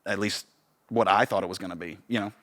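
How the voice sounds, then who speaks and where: A man's voice: low voice, fairly even intonation, quick pace, a bit bright. A man co-hosting a podcast, talking casually and enthusiastically with emphatic repetition. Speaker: podcast co-host, director. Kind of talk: casual conversational podcast chat.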